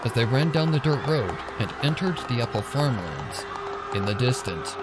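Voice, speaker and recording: A man's voice: medium pitch, big pitch swings, normal speed, over a noisy crowd. A man reading scripted fan fiction as a dramatic narrator. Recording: loud music and effects behind. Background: loud music.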